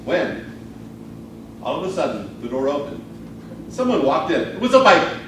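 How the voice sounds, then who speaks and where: A man giving a theatrical storytelling speech as a contestant, with a dramatic, deliberate delivery full of pauses. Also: fairly high pitch, big pitch swings. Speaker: speech contestant telling a tall tale. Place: roomy indoor speech contest venue. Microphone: distant camera microphone. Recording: distant, echoey, noisy live room recording. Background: noisy room ambience, audience laughter.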